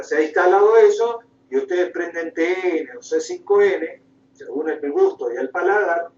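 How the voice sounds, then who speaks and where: Male Argentine political speaker, higher-pitched voice, emphatic oratory, picked up from a distance in a room. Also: a little mellow. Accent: Argentine Spanish. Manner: emphatic, oratorical, repeats phrases and asks rhetorical questions. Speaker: guest lecturer, political activist. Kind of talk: impassioned political lecture. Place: indoor room, recorded at a conference. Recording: roomy, distant mic, dull sound.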